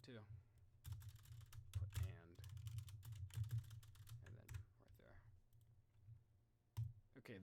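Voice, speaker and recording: A man streaming a casual reaction, thinking out loud in slangy talk and addressing his viewers directly. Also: medium pitch, slight background noise. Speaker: streamer, video host. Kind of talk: casual livestream reaction chat.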